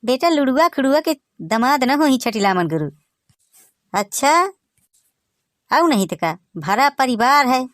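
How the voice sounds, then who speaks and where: A man with a Bagheli accent voicing a boastful village son-in-law in a comic cartoon, teasing in lively banter. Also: very high-pitched, unhurried, pauses often, dry recording, clean studio sound, full character voice. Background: music.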